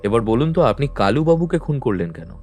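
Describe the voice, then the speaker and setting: A man playing a calm, probing detective who questions people in a measured, firm way. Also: mid-range voice. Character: calm probing male detective, middle-aged. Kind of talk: audio drama acting. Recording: faint background music.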